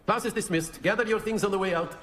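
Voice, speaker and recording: An actor playing a playful, brisk but encouraging professor in a video game, speaking with theatrical flourish. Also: male voice, high-pitched, very noisy, close to an everyday voice. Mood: instructive. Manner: theatrical, flowery phrasing with questioning hums. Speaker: charms professor character.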